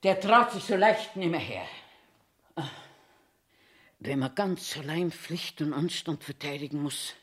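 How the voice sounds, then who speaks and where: A woman's voice: low voice, animated, a little slow, pauses often. An actress in her eighties playing a cranky, eccentric old toilet attendant in a theatrical monologue, querulously talking to herself in a Bavarian accent. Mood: grumbling, self-pitying. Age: 80s. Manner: self-talking, querulous, emphatic. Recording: echoey room sound.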